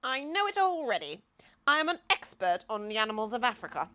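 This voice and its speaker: A woman's voice: a little high, very animated, brisk, bright, forceful tone, voice pushed up. A woman voicing a grand, know-it-all but generous male Duke in a storybook, with a pompous, declamatory manner. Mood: knowing, impatient.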